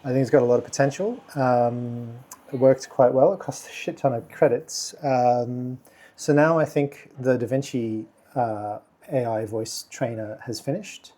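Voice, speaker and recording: A man hosting a casual software tutorial, speaking quietly and thinking aloud with many fillers as he works. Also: mid-range voice, fairly even intonation, a little slow. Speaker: video tutorial host. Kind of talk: casual screen-recording tutorial.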